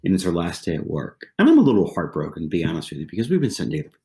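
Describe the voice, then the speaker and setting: A man's voice: low-pitched, wildly swooping pitch, very fast, darkish tone. A man in his forties from southwestern Pennsylvania, a podcast guest telling stories in a casual, conversational way, often repeating phrases and tagging lines with "right?". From southwestern Pennsylvania, USA. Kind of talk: casual interview storytelling.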